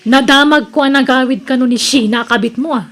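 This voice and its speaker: A woman's voice: high-pitched, a little fast, over music, lightly acted. A woman acting a jealous, bitter, sarcastic wife in an Ilocano radio drama, with an Ilocano accent.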